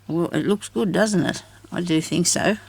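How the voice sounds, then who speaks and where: Deep raspy woman, gravelly. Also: normal speed, darkish tone, no room sound. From Australia. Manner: chatty, self-deprecating, laughs often. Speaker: craft vlogger. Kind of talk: rambling casual chat while crafting.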